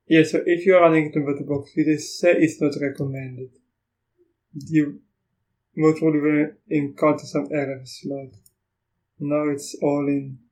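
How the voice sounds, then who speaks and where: Afrikaans-speaking man, mellow and monotone, reviewing software and thinking aloud. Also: fairly high pitch, rough recording. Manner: thinks aloud, halting, reacts to what is on screen. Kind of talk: casual screen-recording walkthrough.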